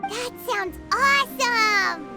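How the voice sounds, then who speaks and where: Squeaky, tiny childlike fairy companion. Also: female voice, animated, slow, loud music behind the voice, full character voice. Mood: eager. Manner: childish, talks about self in third person. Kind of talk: video game dialogue acting.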